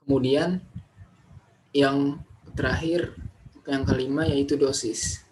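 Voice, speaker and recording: A man's voice: medium pitch, fairly even intonation, slow pace. Young male student presenter, faint noise. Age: young adult. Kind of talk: academic presentation with self-posed questions.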